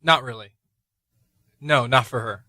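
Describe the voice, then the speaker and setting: Guy doing an easygoing old-soul cottage host, bright voice, dry close sound. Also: mid-range voice, unhurried, dry recording. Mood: calm, wry. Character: Bear, a wise, welcoming man who writes plays. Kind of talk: amateur radio play.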